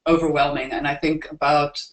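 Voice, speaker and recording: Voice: mid-range voice, little pitch movement, fairly quick. South African student in an echoey room. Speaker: student panelist. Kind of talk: casual panel chat.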